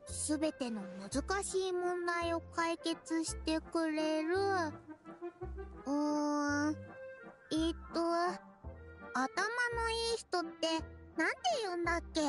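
A woman voicing a cheerful, innocent little girl adventurer in an anime game, with a childlike, eager manner. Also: very high-pitched. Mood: pondering. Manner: childlike, refers to herself by name. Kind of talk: Japanese anime game voice acting.

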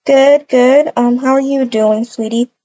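A woman plays a sweet, doting young mother in a game roleplay. Her voice is high and mellow, tender and hesitant, in a slightly dull recording. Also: fairly even intonation, a little slow. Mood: sweet, casual.

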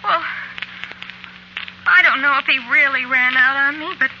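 Old-time radio ingénue: naive, pleading American waitress, high plaintive voice, dull hissy old recording. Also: female voice, measured pace. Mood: anxious, hesitant. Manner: plaintive, hesitant, tearful. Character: distraught, naive young waitress, timid and pleading. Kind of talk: 1940s radio drama acting. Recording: muffled, noisy 1940s radio recording.

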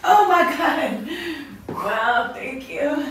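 Young woman client, higher-pitched, informal and exclamatory; distant pickup in a very echoey room. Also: lively intonation, very slow, noisy background. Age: young adult. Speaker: client being treated. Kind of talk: casual reactions during treatment. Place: small indoor treatment room.

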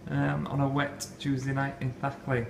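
A man asking a football manager steady questions for the club after a match. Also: mid-range voice, normal speed, vocal fry. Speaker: club interviewer. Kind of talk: post-match interview questions. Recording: echoey room, noisy.

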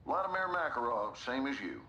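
Self-assured older American general. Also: male voice, medium pitch, very animated, a little fast, bright, forceful tone. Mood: smug. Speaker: army general. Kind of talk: cinematic military game acting.

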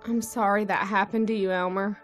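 A woman playing a gentle, sympathetic, apologetic character in a horror audio story. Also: mid-range voice, fairly even intonation, fairly quick, faint music behind, close to an everyday voice.

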